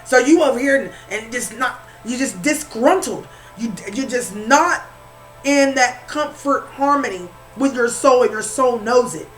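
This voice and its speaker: A woman's voice: on the high side, a little slow, faint music behind, low-quality recording. A woman with a Southern accent doing an informal tarot reading, repeating herself and calling the listener honey. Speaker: spiritual reader.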